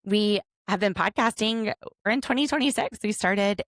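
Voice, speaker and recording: A woman in her thirties hosting a casual podcast from home, chatty and quick to laugh as she picks apart a reality show. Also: mid-range voice, no echo, clean studio sound. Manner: chatty, laughs often, overanalyzing. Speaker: podcast host, attorney.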